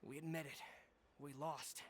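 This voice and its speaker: A man's voice: fairly high pitch, little pitch movement, measured pace, a little hiss or hum, natural voice. An actor playing a resentful, defeated male street thug in a video game. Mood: bitter, defensive. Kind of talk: English game voice acting.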